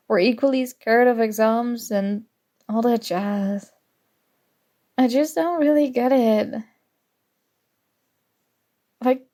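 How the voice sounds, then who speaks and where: A young woman acting in an ASMR audio roleplay as a prickly but grudgingly fond student, teasing and defensive. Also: mid-range voice. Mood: wry, questioning. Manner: teasing, defensive, flustered, soft-spoken tsundere.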